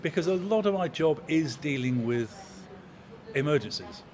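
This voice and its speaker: A man in his sixties, an opera company manager, talking in a measured, anecdotal way in an interview. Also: on the high side, animated. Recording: crowd chatter in background.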